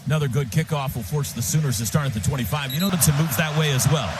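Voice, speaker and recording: A high-voiced man calls college football on the radio. He delivers punchy, clipped phrases with urgent excitement, rising to shouts, with music mixed clearly underneath. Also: fast talker.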